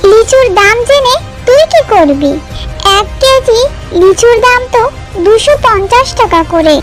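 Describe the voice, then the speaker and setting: A woman's voice: very high-pitched, expressive, measured pace, faint music behind. A woman playing a caring, easily worried mother fox in a cartoon, earnest and motherly. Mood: surprised, tender.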